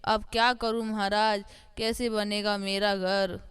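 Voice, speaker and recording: A woman plays an ageing mother goat in a cartoon fable, humble and polite. Her delivery is extremely flat and slow, with deferential, measured phrasing. The sound is very clean and dry. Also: medium pitch, clear, resonant tone, no room sound, lightly acted. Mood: worried. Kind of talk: Hindi animated moral-story voice acting.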